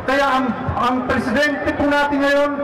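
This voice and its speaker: Male Filipino protest speaker, high raised pitch, emphatic explanatory delivery, far-off reverberant PA. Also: flat delivery, a little slow. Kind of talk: political rally speech. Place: outdoor street rally. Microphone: public address microphone. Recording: echoing loudspeaker heard from afar.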